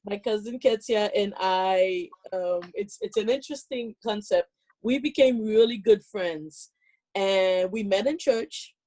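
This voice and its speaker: A woman from Haiti telling a personal story on a video call, with many pauses and fillers. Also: medium pitch, warm tone. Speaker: meeting participant.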